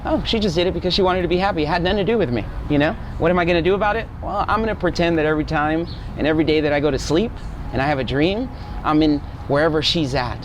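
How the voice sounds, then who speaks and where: Male guest, forty, from Guatemala, slightly high voice, earnest storyteller, clear traffic noise behind. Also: rapid-fire. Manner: earnest, seeks agreement with tag questions. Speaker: interview guest. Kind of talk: personal storytelling in casual interview. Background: street traffic and vehicles.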